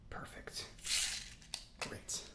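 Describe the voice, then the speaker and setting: A man playing a gentle, reassuring robot mechanic in a soft-spoken ASMR roleplay, talking in an unhurried, slightly hesitant way. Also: roomy sound. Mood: gentle, encouraging.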